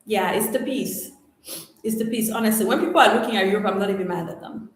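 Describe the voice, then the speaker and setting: Lively echoey woman from Cameroon. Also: medium pitch, fast talker, slight background noise. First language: French. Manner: animated, emphatic, slangy with rising exclamations. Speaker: livestream host answering viewer questions. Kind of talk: casual live Q&A chat. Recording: very echoey room.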